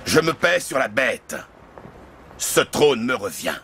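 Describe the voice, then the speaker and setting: An actor playing a boastful, theatrical man in game and fantasy drama, raspy and declamatory. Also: mid-range voice, big pitch swings, slow pace, fairly bright tone, exaggerated voice. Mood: defiant.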